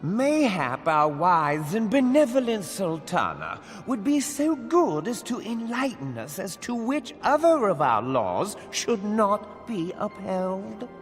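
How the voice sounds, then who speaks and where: An actor playing a scheming, wealthy merchant lord with a pompous, sarcastic manner. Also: male voice, high voice, huge pitch swings, slow pace, hardly any pauses, long reverb tail, soft background music, full character voice. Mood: mocking. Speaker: game character.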